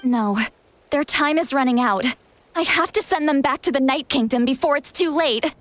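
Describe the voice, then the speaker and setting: Caring young game heroine, high voice, earnest and kinda pleading. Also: female voice, moderate pace, fairly bright tone, dry recording. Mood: earnest, urgent. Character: earnest, caring young female spirit guide. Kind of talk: English game dub acting.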